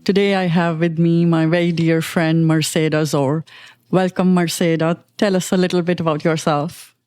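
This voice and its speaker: A woman's voice: fairly low pitch, level tone, moderate pace, darkish tone, no room sound. A woman from India hosting an interview, friendly and conversational. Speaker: host.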